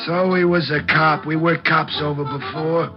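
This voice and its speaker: An actor playing a brash, defiant small-time thug with curt, belligerent talk in an old-time radio crime drama. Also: male voice, on the high side, steady tone, normal speed, lightly acted. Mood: impatient, cocky. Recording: muffled vintage radio recording with music.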